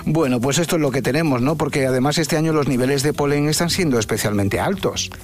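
A man presenting the news in a chatty, expressive way, with frequent exclamations and a conversational tone. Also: fairly high pitch, fast talker, soft background music. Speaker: news anchor.

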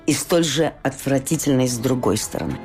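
A woman interviewer speaking earnestly, leaning slowly and heavily on her key phrases. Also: very deep voice, over music. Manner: earnest, emphatic, slow and weighty on key phrases. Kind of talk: interview questions and commentary.

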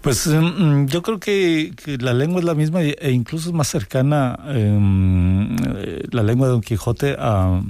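A man from northern Mexico with a Mexican accent, a writer answering interview questions thoughtfully, pausing and restarting often. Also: medium pitch, dark tone, no room sound. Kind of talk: conversational interview.

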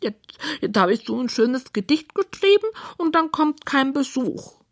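Squealing, high-pitched excitable pig. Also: female voice, a little slow, full character voice. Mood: lonely, wistful. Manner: theatrical, self-important. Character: excitable, vain, lonely pink pig who loves rhyming. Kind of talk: children's bedtime story character voice.

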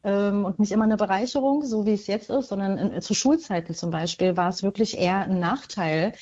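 A woman's voice: medium pitch, a little fast, mellow, lo-fi sound. A middle-aged woman from Germany answering as an author in an interview, reflective and hesitant, repeating words for emphasis.

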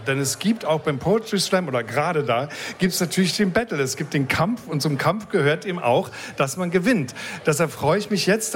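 Male radio host, pitch sits a little high, loose and meandering interviewer. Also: a little hiss or hum. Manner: rambling, restarts sentences, chuckles.

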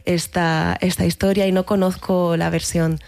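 A woman in her thirties with a mixed Catalan and Madrid Spanish accent, speaking reflectively as an interview guest, pausing to find her words. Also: fairly low pitch, little pitch movement, gravelly, darkish tone. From Barcelona, Spain. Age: 30s. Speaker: actress, guest. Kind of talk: reflective personal conversation.

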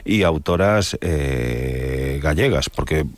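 A man, a publisher explaining things in a measured way, with hesitant restarts. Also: extremely low pitch, animated, dry recording. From Galicia. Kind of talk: radio-style interview answers.

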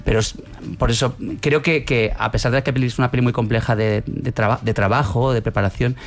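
A man's voice: medium pitch, steady tone, quick pace. A film director from Navarre, fluently explaining his work in a radio interview. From Navarre, Spain. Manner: fluent, explanatory, many fillers.

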